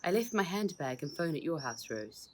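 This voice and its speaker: A woman reading a storybook, voicing a composed girl who takes charge briskly and practically. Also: low-pitched, very animated, a little mellow, a little hiss or hum, pitched above the performer's own voice.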